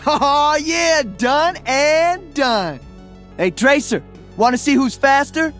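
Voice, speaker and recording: A man's voice: extremely high pitch, expressive, slow, a bit bright, soft background music, exaggerated voice. A man voicing an upbeat, playful young celebrity DJ hero in a video game, joking casually. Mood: excited, friendly. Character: upbeat young male Brazilian celebrity DJ and freedom fighter.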